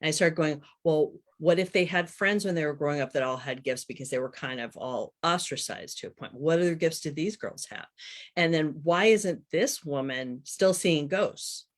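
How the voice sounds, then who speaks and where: A woman's voice: low-pitched, normal speed. A woman in her fifties speaking as a guest author, chatting casually and explaining things in an interview. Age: 50s.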